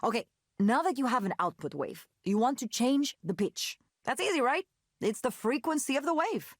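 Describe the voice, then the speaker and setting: An actress voicing a playful, encouraging young woman musician in a visual novel, breezy and quick to tease. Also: sits high, sing-song, full character voice. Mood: breezy explaining. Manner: breezy, laughing, teasing.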